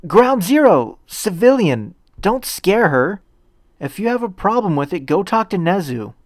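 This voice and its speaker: A man's voice: high voice, sing-song, a little slow, no echo, studio quality, pitched above the performer's own voice. A man voicing an earnest, polite teenage boy hero in a fan fiction reading, eager and a little nervous, with a stammer. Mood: urgent shouting.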